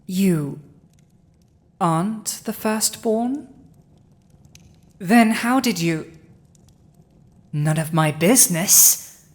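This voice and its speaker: A woman's voice: medium pitch, lively intonation. A woman playing a proud villainous dragon who has seized a human throne, speaking formal, archaic lines in a scripted audio roleplay. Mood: startled, offended. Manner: formal archaic diction, haughty, deliberate.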